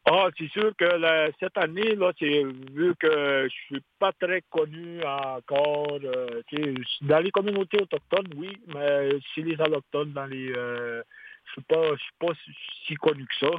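A man, a singer-songwriter guest on a radio interview, talking haltingly with many restarts and fillers. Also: on the high side. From Quebec. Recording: tinny phone line with faint hiss.

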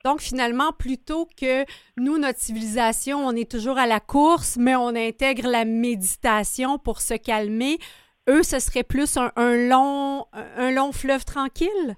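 A woman's voice: sits high, a little slow, smooth, no echo, studio quality. A woman radio host, interviewing a guest with frequent hesitant fillers.

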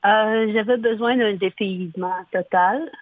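A woman in her sixties, a native English speaker from Ottawa, speaking French as a guest with a slow, halting manner and frequent throat clearing. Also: medium pitch, no room sound. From Ottawa, Canada. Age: 60s. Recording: tinny phone line.

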